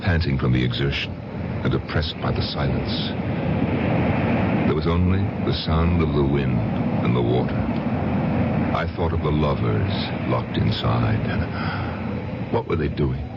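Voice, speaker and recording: A bitter haunted fifty-ish man confessing, super deep, muffled noisy old radio drama. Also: a little slow, gravelly, long pauses, exaggerated voice. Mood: haunted, brooding. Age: middle-aged. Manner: intense, brooding first-person confession. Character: haunted, hate-filled fifty-year-old man confessing to his psychiatrist.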